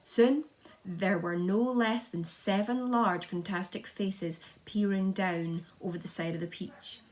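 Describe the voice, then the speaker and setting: A woman reads a children's story aloud in an expressive, teacherly way. Her telling is dramatic, and she now and then trips on a word and carries on. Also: mid-range voice, unhurried. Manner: dramatic, with occasional stumbles over words. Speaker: schoolteacher reading aloud.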